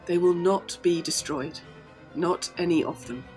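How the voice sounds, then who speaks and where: A woman's voice: lowish voice, a little mellow, soft background music, lightly acted, low-quality recording. Woman acting earnest, resolute young Victorian lady. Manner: formal, measured, full of conviction. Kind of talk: gothic audio drama acting.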